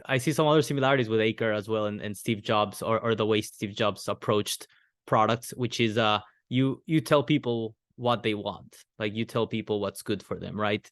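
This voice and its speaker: A man's voice: on the low side, fairly even intonation, normal speed, no room sound. Earnest male podcast host, dry sound. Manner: earnest, opinionated. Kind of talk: casual podcast conversation.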